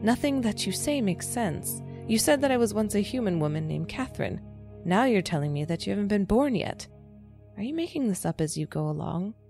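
A woman voicing a dry, boastful computer intelligence in an audio drama, brisk, skeptical and deadpan. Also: medium pitch, vocal fry, faint music behind.

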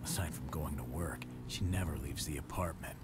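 An actor playing a hard-edged estranged brother lying low, curt and defensive, swearing when pushed. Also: male voice, normal speed. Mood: brooding. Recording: loud music under the dialogue.

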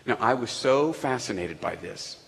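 A man's voice: medium pitch, expressive, normal speed. A man preaching a sermon to his congregation in a conversational way, with asides and questions to the room. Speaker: pastor. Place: church sanctuary. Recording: echoey church hall.

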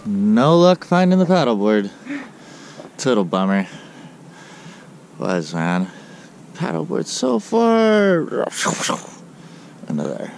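A man vlogging outdoors by a river, talking casually into a handheld camera and getting excited over wildlife. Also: medium pitch, takes it slowly, creaky, pauses often. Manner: excitable, easily thrilled by wildlife. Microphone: handheld action camera at arm's length. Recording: outdoor recording with loud background noise.

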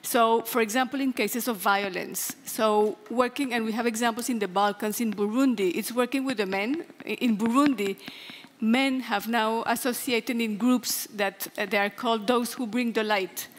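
Higher-voiced woman in a big reverberant hall. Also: normal speed. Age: middle-aged. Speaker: conference panelist. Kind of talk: explanatory panel talk. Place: large conference hall stage. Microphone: headset. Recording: long hall reverb from auditorium sound system.

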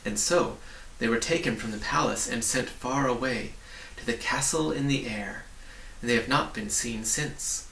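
A man in his forties reading a storybook aloud in a measured, expressive way. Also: medium pitch, creaky. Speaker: reader. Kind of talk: storybook narration, read aloud. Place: small book-lined home study, indoors. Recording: roomy, distant mic with faint noise.

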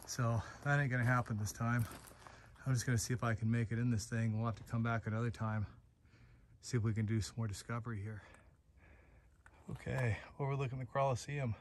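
A man in his forties talks to a handheld camera as he climbs a rocky desert mountainside, tired and a little winded. He has a medium-pitched voice and a loose, breezy manner, full of small exclamations. Faint wind noise sits behind him. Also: steady tone, fairly quick, pauses often. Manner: breezy, talks to himself while hiking, exclamations. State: tired, a bit out of breath from climbing. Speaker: desert explorer vlogger. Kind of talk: casual hiking vlog narration. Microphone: handheld phone or action camera.

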